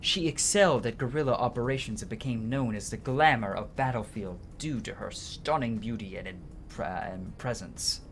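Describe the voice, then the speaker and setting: A man's voice: medium pitch, moderate pace, hardly any pauses, a bit bright, slight background noise. A man doing casual let's-play commentary, informal and sweary, reading game dialogue aloud as he plays. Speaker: game streamer.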